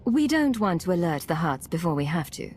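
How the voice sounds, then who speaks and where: Low, cool agent commander. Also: female voice, sing-song, a little fast, darkish tone, slight background noise. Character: composed, resolute female secret agent commander.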